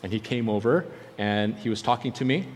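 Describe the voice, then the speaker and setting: A man's voice: medium pitch, expressive, brisk. Earnest man preaching in a reverberant hall. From born in South Korea. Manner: earnest storytelling, acts out remembered dialogue. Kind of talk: personal testimony in a sermon.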